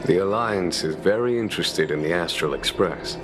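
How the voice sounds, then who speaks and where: An actor playing a calm, shrewd general in a video game, speaking in a measured, formal and diplomatic way. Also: male voice, low-pitched, over music, lightly acted. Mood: gracious, assured. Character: calm, courteous, shrewd male general commanding a starship's knights. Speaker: military leader. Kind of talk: scripted video game dialogue.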